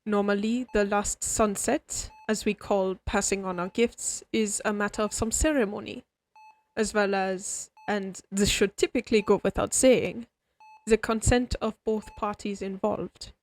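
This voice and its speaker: A woman voicing a refined, friendly vampire doctor in a scripted roleplay, explaining things to the listener in a formal, measured, courteous bedside manner. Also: medium pitch, fairly even intonation, natural voice, low-quality recording. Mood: courteous, explanatory. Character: refined, oddly friendly vampire doctor, centuries-old aristocratic healer. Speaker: doctor character in a roleplay audio. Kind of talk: scripted comfort roleplay, spoken directly to listener.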